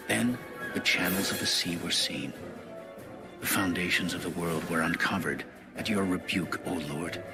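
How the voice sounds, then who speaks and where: A man reading scripture as a narrator, in a solemn and measured manner. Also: low voice. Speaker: Bible narrator. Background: music.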